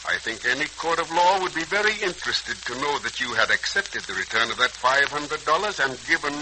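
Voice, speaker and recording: A man's voice: a little high, animated, brisk, lightly acted. A man acting a protective, plain-speaking uncle in a 1940s radio drama, with a righteous, steadying manner. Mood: indignant, firm. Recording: faintly noisy vintage radio recording.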